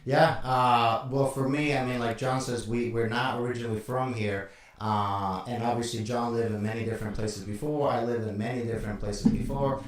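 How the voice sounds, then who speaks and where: A man co-hosting a live stream and talking in a relaxed way, with frequent filler sounds. He sits well back from the mic, and his voice rings around a very echoey room. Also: medium pitch, moderate pace, far from the mic, a little hiss or hum. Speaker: co-host.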